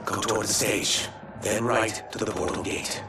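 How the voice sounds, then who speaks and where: Earnest low-voiced rebel scout played by an actor. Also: male voice, steady tone, moderate pace, close to an everyday voice. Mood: urgent. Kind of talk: cinematic video game acting. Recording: echoey, distant, under loud music.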